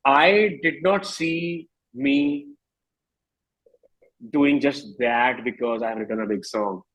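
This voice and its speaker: A man in his thirties with an Indian accent, speaking candidly and reflectively as an interview guest, often halting and rephrasing his thoughts. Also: mid-range voice, fairly bright tone, rough recording. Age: 30s.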